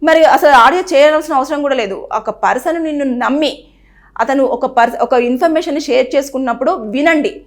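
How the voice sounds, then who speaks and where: A woman's voice: high-pitched, brisk. A woman, presenting an earnest explainer that advises the viewer and acts out what people say. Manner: earnest, advising, repeats phrases and acts out quoted speech. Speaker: news presenter. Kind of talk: explainer to camera on a legal topic.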